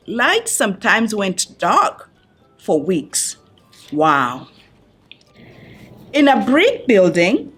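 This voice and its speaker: Female children's book reader, unhurried and expressive, pausing for effect, a touch breathy, with music in the background. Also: fairly high pitch. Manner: deliberate phrasing with dramatic pauses for young listeners. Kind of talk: expressive picture-book read-aloud.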